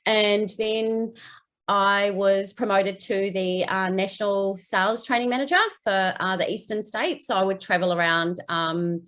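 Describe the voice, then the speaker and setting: A woman's voice: mid-range voice, fairly even intonation, measured pace, a bit bright. New Zealand woman, 40s, manager guest, friendly and conversational. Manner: friendly, many ums and uhs. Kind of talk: casual video interview.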